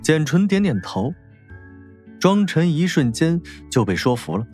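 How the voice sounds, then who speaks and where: A man narrates a novel in a dramatic audiobook style. His voice sits a bit high and moves expressively, with faint music underneath. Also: very animated, unhurried, a little mellow.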